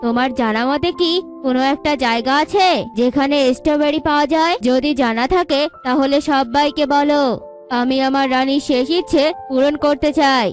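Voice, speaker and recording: A woman's voice: high-pitched, moderate pace, faint music behind, lightly acted. A woman voicing a regal eagle king in a cartoon, commanding and devoted. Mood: pleading, insistent.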